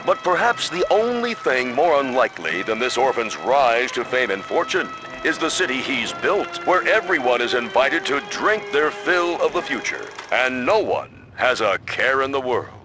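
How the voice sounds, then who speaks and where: An actor playing a proud, upbeat 1950s American newsreel announcer with a grandiose, emphatic delivery. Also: male voice, medium pitch, big pitch swings, moderate pace, few pauses, natural voice, poor audio. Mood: grandly upbeat. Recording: music behind the voice.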